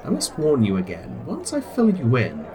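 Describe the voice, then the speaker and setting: An actor playing a suave, scheming spy recruiter in an audio drama, courteous and theatrical with formal phrasing. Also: male voice, medium pitch, huge pitch swings, moderate pace, crowd noise. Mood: calm, assured. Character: suave, polite, scheming man recruiting spies.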